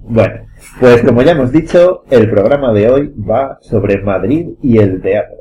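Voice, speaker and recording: A man's voice: medium pitch, expressive, measured pace, dark tone, a little hiss or hum. A man with an accent from Spain, hosting a casual group podcast conversation. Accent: Spanish, from Spain. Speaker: podcast host and director.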